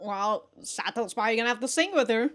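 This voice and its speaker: A woman with a high-pitched voice reacts off the cuff as host of a reaction video. She talks in a casual, excitable way, swears freely and is quick to laugh. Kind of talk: casual live reaction commentary.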